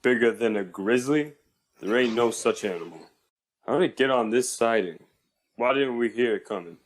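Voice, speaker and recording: A man playing a gruff, skeptical Old West railroad laborer in a comic dub, talking in a folksy way. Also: medium pitch, normal speed, lightly acted.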